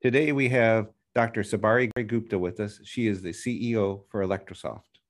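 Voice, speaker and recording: A man's voice: on the low side, fairly even intonation, fairly quick. Middle-aged man hosting a podcast. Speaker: podcast host, university president. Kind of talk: podcast interview.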